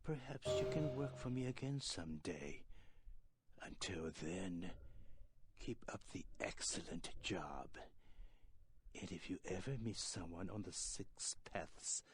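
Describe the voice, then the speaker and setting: An actor playing a polite, sinister old shopkeeper with a husky voice and a formal, cryptic manner in a video game. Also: male voice, sits low, animated, takes it slowly, slight background noise. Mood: pleased, sly.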